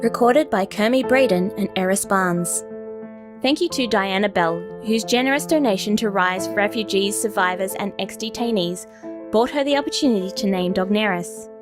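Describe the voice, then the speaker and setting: A woman's voice: mid-range voice, normal speed. Woman reading credits over music. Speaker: credits announcer. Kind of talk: scripted podcast credits read.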